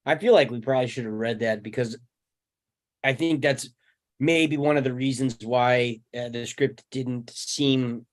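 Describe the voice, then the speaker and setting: A man in his thirties talking casually in a group discussion, reflective and halting, with long pauses. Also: mid-range voice. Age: 30s. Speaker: table read participant.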